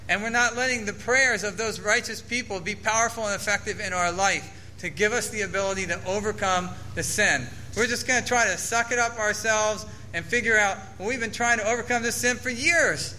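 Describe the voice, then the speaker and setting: A man's voice: sits high, sharp tone, a little hiss or hum, rough recording. A man preaching a sermon to his church, earnestly reading scripture aloud and explaining it. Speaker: pastor.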